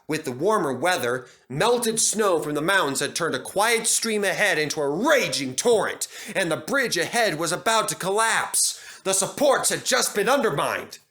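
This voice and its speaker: Male storybook narrator; high, animated, expressive read.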